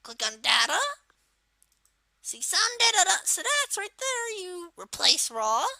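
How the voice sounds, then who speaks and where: Woman putting on a young male cartoon turtle villain, bright and gravelly, informal rambling tutorial delivery, dry close sound. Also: very high-pitched, sing-song, takes it slowly, dry recording, exaggerated voice. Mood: explaining, casual. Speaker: tutorial presenter. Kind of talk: unscripted screen-recording software tutorial.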